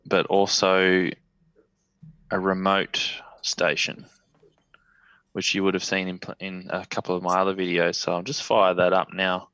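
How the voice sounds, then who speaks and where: An Australian man on a video call, casually showing off his radio station as he works the software. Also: low-pitched, no room sound, rough recording. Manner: relaxed, talks while operating radio software.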